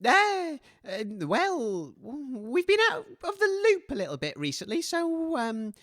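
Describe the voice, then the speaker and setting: A man hosts a comedy radio show in a nervous, flustered manner. His pitch leaps about wildly and he often stammers over his words. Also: wildly swooping pitch, measured pace, fairly bright tone, dry recording, clean studio sound. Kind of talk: comedy radio hosting in character.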